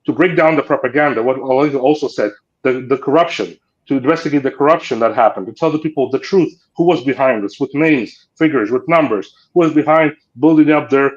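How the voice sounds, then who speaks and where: Panel guest, man, medium pitch, insistent list-maker, lo-fi remote line. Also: fairly quick. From Ukraine. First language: Ukrainian. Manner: insistent, piles up lists of points. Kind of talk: political panel discussion.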